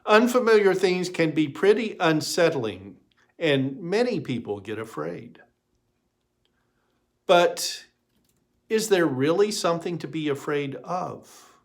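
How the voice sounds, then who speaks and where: A man voicing a gentle, patient, reassuring church minister in a storybook reading, with a questioning manner. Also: fairly high pitch, expressive, takes it slowly, vocal fry, pauses often, voice pushed up, full character voice.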